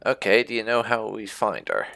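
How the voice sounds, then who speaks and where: A man playing a roleplay game and talking in character, casual and musing, with hums and drawn-out words. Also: lowish voice, huge pitch swings, creaky, pushed and bright. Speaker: gamer roleplaying as his character. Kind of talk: casual let's play commentary, speaking in character.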